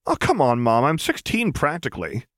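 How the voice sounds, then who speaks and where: A man's voice: medium pitch, wildly swooping pitch, normal speed, no echo, studio quality, pitched above the performer's own voice. A man voicing a casual, cheeky teenage boy in an audiobook, eager and given to grumbling. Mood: sulky.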